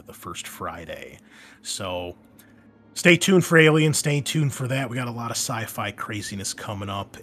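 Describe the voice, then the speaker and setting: A man's voice: fairly low pitch, fairly bright tone, a little hiss or hum. A man hosting a gaming podcast, making casual, enthusiastic announcements in an informal way. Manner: informal, enthusiastic, mild swearing. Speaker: podcast host and game master.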